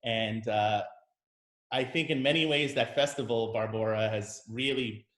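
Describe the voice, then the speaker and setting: A man, a conductor, hosting a casual interview in a measured, friendly way with many pauses and fillers. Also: mid-range voice, a bit bright. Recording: roomy echo.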